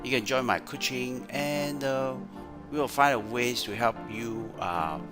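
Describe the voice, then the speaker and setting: A man's voice: medium pitch, unhurried. A man with a Malaysian accent giving a screen-share tutorial as a trainer, often tagging his sentences with "right?". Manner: frequent "right?" tag questions. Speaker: Excel trainer. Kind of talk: screen-share software tutorial with sales pitch. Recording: music playing behind the voice. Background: clear background music.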